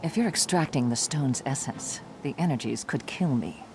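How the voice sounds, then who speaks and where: An actress playing an earnest, resolute human necromancer in a fantasy video game, speaking in a measured, solemn way. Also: female voice, very deep voice, expressive, a little mellow, a little hiss or hum. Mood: concerned. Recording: faint music underneath.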